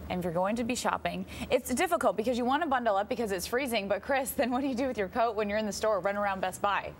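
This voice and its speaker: An American woman anchors a morning newscast at a medium pitch. She mixes news reading with light banter, and there is noise behind her voice. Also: fast.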